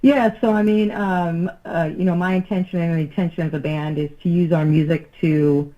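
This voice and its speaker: A woman's voice: fairly low pitch, fairly even intonation, moderate pace, muffled recording. A woman who leads a band, answering interview questions earnestly, with loose phrasing and fillers. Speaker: band lead singer, guest.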